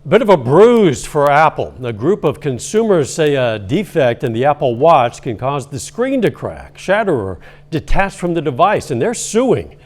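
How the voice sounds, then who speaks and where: A man reading a local evening newscast as anchor, in a steady, measured delivery with a slightly strained voice. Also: a little high. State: losing his voice, coughing at times.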